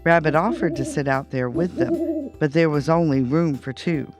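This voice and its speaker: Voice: medium pitch, normal speed, loud music behind the voice. A storyteller reading a children's bedtime story aloud as narrator.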